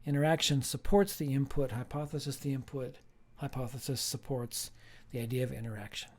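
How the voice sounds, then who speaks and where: A man, a professor, giving a slow, emphatic recorded lecture with playful asides. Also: medium pitch, steady tone.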